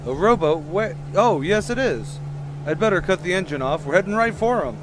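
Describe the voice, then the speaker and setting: A man's voice: high voice, lively intonation, normal speed, noisy background. An actor playing an earnest, naive and bashful bachelor man in old radio sitcom style, a little hesitant. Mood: helpful. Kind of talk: 1940s-50s radio sitcom acting.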